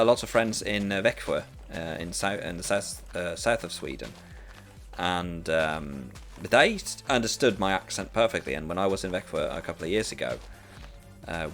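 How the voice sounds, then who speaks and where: A man's voice: low-pitched, a bit bright. A Norwegian man with a sore throat, chatting casually and rambling while streaming a game, with faint music behind him. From rural Western Norway. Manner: chatty, rambling, many restarts. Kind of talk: casual chat while gaming.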